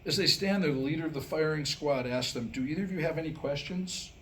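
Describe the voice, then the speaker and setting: A mature man delivering a scripted comic talk to a live audience, telling stories and jokes with deliberate pauses. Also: on the high side, a bit bright, a little hiss or hum. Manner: storytelling, deliberate pauses, acting out dialogue in jokes. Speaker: humorist and author. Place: indoor room, live staged reading.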